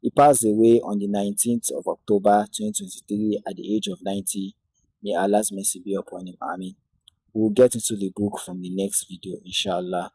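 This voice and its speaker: Male teacher narrating a lesson, measured and devout; dark, husky, gravelly voice, low pitch. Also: very animated, dry recording, low-quality recording. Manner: measured, devout delivery with Islamic invocations. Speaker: Arabic teacher.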